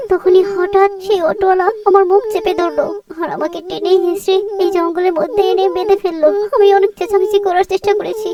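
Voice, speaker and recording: A plaintive young cartoon woman, acted by a woman, in a very high voice. She sounds distressed and devout as she pleads and recounts her ordeal, recorded at some distance from the mic. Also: warm tone, highs cut off. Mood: grateful, recounting. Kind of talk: dramatic cartoon dialogue and storytelling.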